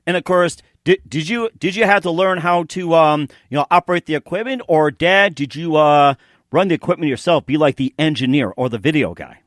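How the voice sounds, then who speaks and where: A man hosting an interview show with upbeat, promotional announcing and an excitable, showy way of introducing things, often repeating himself. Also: a little high, a little fast, no echo, studio quality. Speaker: radio show host and interviewer.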